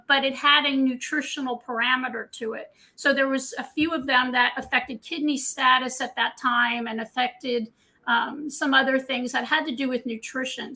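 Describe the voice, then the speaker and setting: A woman's voice: sits high, bright, forceful tone, rough recording. An older woman from Scotland, a guest dietitian, telling her career story in a candid, chatty way. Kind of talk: casual interview chat.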